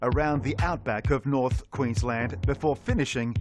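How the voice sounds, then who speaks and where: A man's voice: medium pitch, moderate pace. A man giving upbeat, scripted voice-over commentary for a sports documentary. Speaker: race commentator. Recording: music bed under voice.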